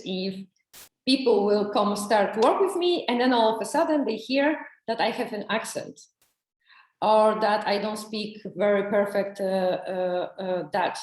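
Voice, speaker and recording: Slow-spoken woman. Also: medium pitch, darkish tone, reverberant, low-quality recording. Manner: halting, searching for words, many restarts. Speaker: coaching client. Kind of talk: testimonial interview.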